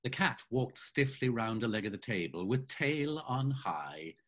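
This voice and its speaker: Brief: older male narrator, lively pitch, slightly husky, expressive literary reading over webcam. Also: unhurried. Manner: savoring, expressive storytelling. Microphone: video call webcam.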